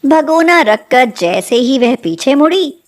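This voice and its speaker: A woman narrates a scripted story in a high voice. Her pace is slow and measured, in the manner of a bedtime storyteller. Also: very animated.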